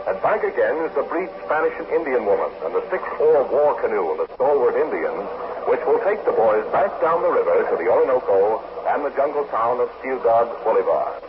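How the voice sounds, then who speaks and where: A man's voice: mid-range voice, big pitch swings, fairly quick, pauses often. A man narrating a 1940s radio adventure serial in a formal, grand announcer style. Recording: noisy, tinny old radio recording.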